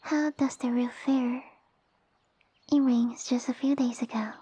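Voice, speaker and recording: Girl doing a tiny snooty snake princess, high soft mellow voice, super formal and bossy, asmr roleplay. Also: fairly even intonation, measured pace, breathy edge. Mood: bossy, exacting. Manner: pompous, formal, commanding speech. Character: haughty, sassy young lamia noblewoman, tiny monster girl. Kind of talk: ASMR roleplay audio drama.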